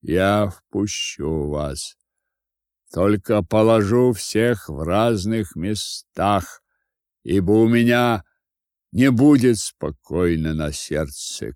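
A man voicing a gruff, suspicious old village woman in a storybook reading, grumbling slowly and deliberately. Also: medium pitch, big pitch swings, darkish tone, no echo, studio quality. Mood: grudging, wary. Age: older.

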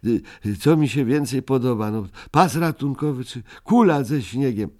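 A man's voice: medium pitch, lively intonation, normal speed, warm tone, voice pushed up, natural voice. A man voicing a small, anxious, greedy male creature in a storybook reading, whiny and stammering as he pleads. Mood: pleading, torn. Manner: fussy, wavering hesitation with fillers. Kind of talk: audiobook character voice.

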